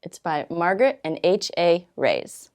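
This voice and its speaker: A woman with a slightly low voice reads a children's storybook aloud. Her intonation is very animated, and she uses dramatic pauses and stretched words to carry the story. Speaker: volunteer storytime reader.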